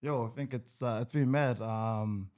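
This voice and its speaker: A man in his twenties with a New Zealand accent, a podcast guest who talks in an easygoing, hesitant way with many pauses. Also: medium pitch, darkish tone. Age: 20s. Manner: easygoing, hesitant with many ums, laughs a lot. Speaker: guest, rugby league player. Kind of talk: casual podcast interview.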